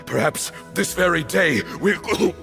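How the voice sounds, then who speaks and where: A man playing a frail, ailing old temple leader with a gravelly voice, fervent and prone to coughing. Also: a little high, animated, slow pace, full character voice. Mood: fervent, exultant. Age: older. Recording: dramatic music behind the voice.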